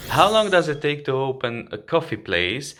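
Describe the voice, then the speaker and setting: A man's voice: a little high, sing-song, normal speed, a bit bright, a little hiss or hum. A man reading a scripted, upbeat podcast introduction. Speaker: show host, intro narrator.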